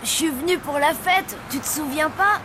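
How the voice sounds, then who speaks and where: A woman's voice: sits high, moderate pace, background noise, lightly acted. A woman playing a naive but outspoken young zombie child in a cartoon, with emphatic delivery. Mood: eager. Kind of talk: French cartoon dub acting. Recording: very loud music and crowd behind voice.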